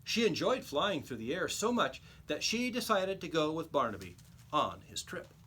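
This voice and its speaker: A man reading a children's storybook aloud like a teacher, with expressive, emphatic storytelling. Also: a little high, moderate pace, breathy edge.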